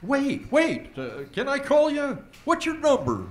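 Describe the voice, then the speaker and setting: Man acting high-voiced, wildly animated bumbling sheriff. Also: measured pace, exaggerated voice. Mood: flustered, eager. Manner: folksy, rambling, easily distracted. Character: bumbling, folksy small-town sheriff, chatty father. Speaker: voice actor in a comedy audio drama. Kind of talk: comedic audio drama acting.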